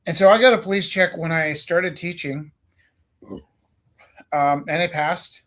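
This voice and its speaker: A man's voice: a little high, unhurried, fairly bright tone. A man from Canada tells a long, winding story with plenty of ums, restarts and the occasional casual swear. His voice sits slightly above the usual range for a man, and he talks like a teacher chatting between friends. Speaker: teacher and stream host.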